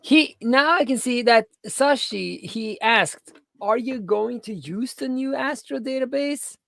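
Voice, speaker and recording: A woman's voice: fairly high pitch, measured pace, creaky, fairly bright tone, no echo. A woman co-hosting in a casual way, chipping in with short remarks and questions. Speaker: co-host relaying chat questions. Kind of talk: casual interjections.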